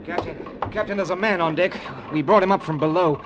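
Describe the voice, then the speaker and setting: A man's voice: high-pitched, a little fast, muffled recording, background noise, natural voice. An actor playing a distressed, deferential male ship's officer in an old radio drama. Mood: horrified.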